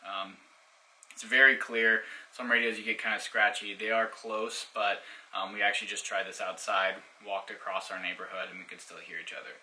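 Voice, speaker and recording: A man's voice: fairly low pitch, little pitch movement, moderate pace, sharp tone, a little hiss or hum, rough recording. A man giving a casual hands-on product demonstration in an informal, explanatory way. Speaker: product reviewer.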